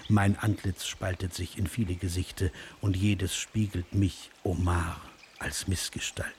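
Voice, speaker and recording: An actor playing an ageing, passionate, brooding poet in a lyrical, declamatory German radio drama. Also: male voice, deep voice, flat delivery, darkish tone, slight background noise. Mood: yearning. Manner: declamatory, lyrical, drawn-out delivery. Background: music.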